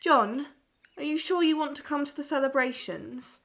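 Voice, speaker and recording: A woman's voice: high-pitched, moderate pace, vocal fry, fairly bright tone. A woman playing a caring farmer's wife and mother in an amateur audio drama. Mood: concerned.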